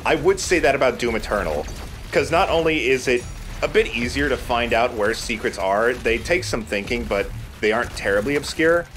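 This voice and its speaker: A man's voice: mid-range voice, lively intonation, normal speed, a bit bright, slight background noise. A man hosting a let's play, talking casually as he comments on a video game.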